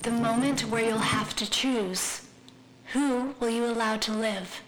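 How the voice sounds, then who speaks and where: A woman playing a sinister, taunting apparition in an audio drama, accusing and measured. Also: on the high side, noisy background, lightly acted. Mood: ominous, accusing.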